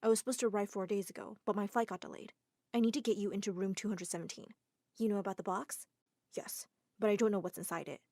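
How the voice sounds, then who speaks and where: A woman voicing a calm young man who speaks quietly and evenly. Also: mid-range voice, fast, no room sound, studio quality, lightly acted. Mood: hushed. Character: calm young man hiding under a desk.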